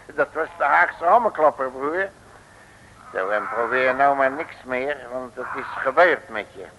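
Cocky, creaky-voiced detective. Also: male voice, mid-range voice, animated, unhurried, muffled recording. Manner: slangy, boastful, homespun. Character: cocky, folksy male detective, fond of his uncle's sayings. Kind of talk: 1950s-60s Dutch radio drama acting. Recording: noisy old radio recording.

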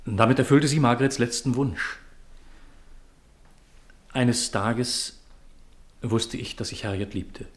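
An actor playing a troubled, guilt-burdened widower in a 1950s radio drama, speaking in a grave, formal, confessional way. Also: male voice, medium pitch, slow pace, pauses often, lightly acted. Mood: burdened, confessing. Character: troubled, guilt-burdened widower confiding his forbidden love.

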